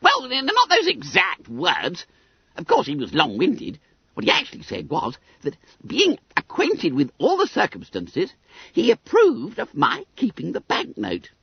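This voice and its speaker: Storybook role: smug, pompous fat schoolboy, very high, squeaky and gleefully boastful, dull sound. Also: wildly swooping pitch, measured pace, vocal fry, slightly rough, no room sound, heavily acted. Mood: triumphant. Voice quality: squeaky, trilling. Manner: pompous, gleeful, muddles long words.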